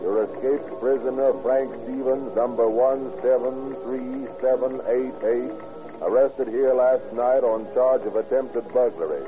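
A man's voice: medium pitch, fairly even intonation, unhurried, music in the background, natural voice. An actor playing a businesslike policeman with a clipped, official manner in a 1930s radio crime drama. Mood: formal, official. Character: businesslike male policeman and sheriff. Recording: tinny old radio recording.

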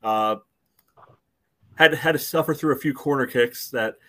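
A man's voice: medium pitch, measured pace, a bit bright. An American man hosting a sports podcast, recapping a game and leading the talk, with constant "you know" fillers. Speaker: podcast host. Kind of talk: casual sports chat.

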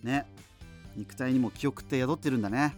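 An actor playing a chatty, self-deprecating radio host who muses casually through listener letters, with music behind him. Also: male voice, medium pitch, moderate pace, darkish tone, natural voice. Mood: musing, conversational. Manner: rambling, colloquial, musing asides.

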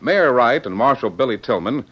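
A man's voice: mid-range voice, expressive, normal speed, fairly bright tone, slightly rough. A man narrating an old-time radio western adventure in an emphatic, dramatic storytelling style. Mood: measured. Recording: muffled old radio recording, music behind.

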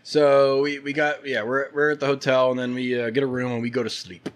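Man, young adult, casual podcast guest, rambling, cursing, mid pitch. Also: flat delivery, fairly quick. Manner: rambling storyteller, swears freely.

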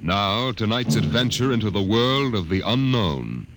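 A man's voice: on the low side, animated. Dramatic old-time radio announcer, man. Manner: dramatic, drawn-out. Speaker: announcer and narrator. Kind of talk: 1950s radio announcing and narration. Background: music.

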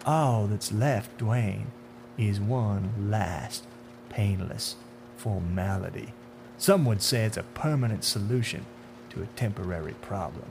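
A man playing a menacing, taunting otherworldly man in a dark suit in a horror story, with theatrical, deliberate, sneering questions. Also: on the low side, creaky. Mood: coldly persuasive. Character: menacing, taunting dark-suited man, smooth-talking otherworldly bringer of death. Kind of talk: dramatic horror audiobook acting. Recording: audible hum behind the voice.

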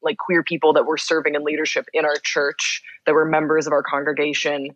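A young adult who grew up in Belgium, a guest in a casual interview, talking with quick bursts, lots of fillers and restarts. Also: medium pitch, monotone, fairly bright tone, no echo, highs cut off. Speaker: podcast guest.

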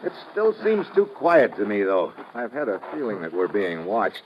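An actor playing a tough, watchful adventurer in an old-time radio drama, with an American accent and wry, clipped, commanding speech. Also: male voice, lowish voice, wildly swooping pitch, moderate pace. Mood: tense, watchful. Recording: muffled, noisy old radio recording.

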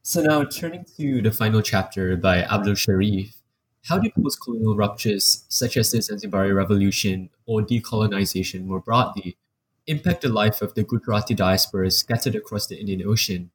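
A man with a fairly low voice asks a prepared interview question in a smooth, composed way. Faint noise sits under the recording. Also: a little fast, poor audio.

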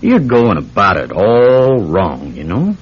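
A folksy small-town police chief from a classic radio play, wry and unhurried. The voice sits low but moves up and down with lively swings. The sound is a dull old recording. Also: male voice, breathy edge, exaggerated voice. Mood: sly, folksy. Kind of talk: classic radio drama acting.

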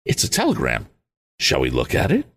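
A man's voice: very deep voice, wildly swooping pitch, brisk, creaky, fairly bright tone, slight background noise, voice pushed down, heavily acted. A man voicing an eager, earnest young inventor in a storybook reading.

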